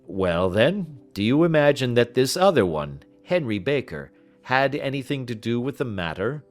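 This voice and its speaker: A man voicing a curious, earnest gentleman doctor in an audiobook, plain and courteous in manner. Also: medium pitch, sing-song, moderate pace, faint music behind, lightly acted. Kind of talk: audiobook character voice.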